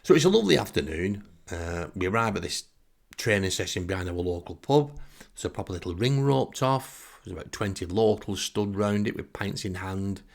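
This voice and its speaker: A man's voice: deep voice, lively intonation, normal speed, creaky. A man with a Northern English accent telling a humorous first-person anecdote in a wry, self-deprecating way. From Northern England. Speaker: comic storyteller.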